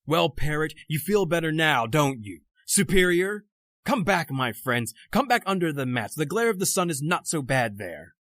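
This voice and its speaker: A man's voice: on the high side, big pitch swings, normal speed, fairly bright tone, dry recording. Man acting a proud, formal castaway doctor. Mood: controlled, placating. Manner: formal, oratorical, theatrical. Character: proud, educated doctor adrift on a raft, obsessive and superior. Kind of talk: old-time radio horror drama acting.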